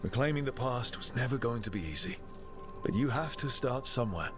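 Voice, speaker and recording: A man playing a supportive warrior hero in a video game, calm, reassuring and measured. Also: mid-range voice, natural voice. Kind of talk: video game character dialogue. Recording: loud game music underneath.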